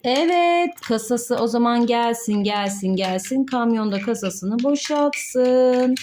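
Woman, high voice, playful toy-play narration, encouraging, exclamatory, addressing child and viewers, slightly noisy recording with clicks. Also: lively intonation, takes it slowly, a little mellow. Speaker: mother playing with her child and presenting to viewers. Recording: light background noise with clicks.

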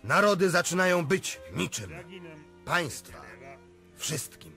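Solemn, declamatory political visionary. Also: male voice, fairly high pitch, sing-song, takes it slowly, vocal fry, pushed and bright, full character voice. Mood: grim, prophetic. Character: solemn, cynical political visionary, grimly eloquent. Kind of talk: radio drama monologue. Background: faint music.

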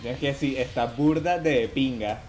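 A man's voice: mid-range voice, normal speed, faint music behind. A man in his thirties with a Venezuelan Spanish accent, chatting playfully and teasing while streaming a game on a headset mic. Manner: playful, joking, lively and teasing. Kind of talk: casual gaming stream chat. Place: small home room indoors.

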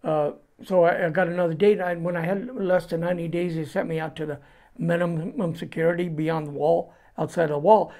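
A man in his seventies with a Californian accent shares a personal story as an interview guest. His voice sits slightly high for a man. He speaks plainly, starting and stopping as he goes. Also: steady tone, brisk, darkish tone. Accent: Californian American. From California, United States. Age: 70s. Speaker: interview guest giving testimony. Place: indoors before a cloth backdrop.

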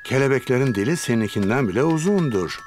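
A man's voice: medium pitch, animated, fast, close to an everyday voice. A man voicing a jolly, kindly old grandfather pig in a children's cartoon, explaining things playfully. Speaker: cartoon character actor. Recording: loud cartoon music behind.

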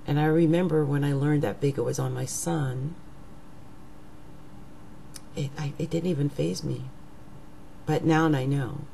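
Slow, gravelly middle-aged woman musing. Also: deep voice, fairly even intonation, dark tone, slight background noise. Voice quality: rough, raggedy voice. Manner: slow, musing, trails into whispers. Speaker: astrologer. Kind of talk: casual monologue to webcam. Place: living room at home. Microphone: webcam at desk.

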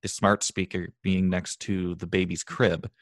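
Male podcast host, deep voice, conversational and reflective, dry recording. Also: flat delivery, measured pace, no room sound. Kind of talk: podcast interview.

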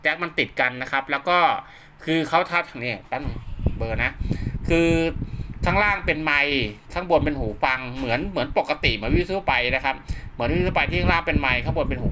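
A man's voice: on the high side, animated, fairly quick. A man talks viewers through steps in a casual, conversational, polite way, often repeating himself. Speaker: host of radio equipment tutorial. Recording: clearly noisy home-made recording with clicks.

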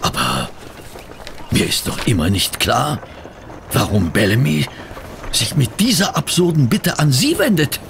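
An actor playing a fussy, incredulous gentleman sidekick in a radio play, sputtering and exclaiming indignant questions. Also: mid-range voice, big pitch swings, a little slow, creaky, long pauses, a little hiss or hum, exaggerated voice. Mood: puzzled. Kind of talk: German radio play acting.

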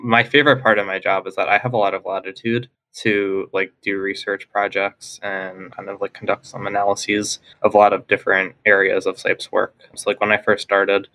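A young man with a bright, forceful tone and very flat intonation talks as a podcast guest. He fills his speech with "um" and "like". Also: on the low side, moderate pace, hardly any pauses, low-quality recording. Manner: uses many fillers like "like" and "um". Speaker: research program officer, podcast guest. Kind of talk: casual conversation.

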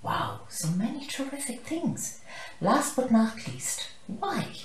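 A woman's voice: a little high, very animated, slow pace, far from the mic, pitched above the performer's own voice. A woman voicing a kindly, enthusiastic female tortoise teacher in a storybook. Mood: excited. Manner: enthusiastic, encouraging. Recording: roomy, echoey living room.

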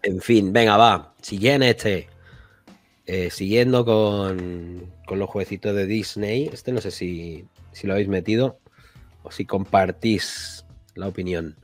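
Spanish guy hosting a gaming chat, lowish voice, rambly and jokey, bit of music behind. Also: fairly even intonation, slow, no echo. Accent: Spain. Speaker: podcast host. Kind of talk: casual chat about retro games.

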